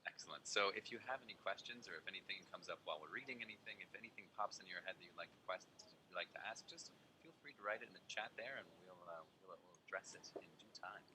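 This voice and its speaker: A man's voice: low voice, quick pace, few pauses, noisy background. A man co-hosting a live podcast event, chatting casually in an effusive, courteous way. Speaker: podcast narrator and co-host.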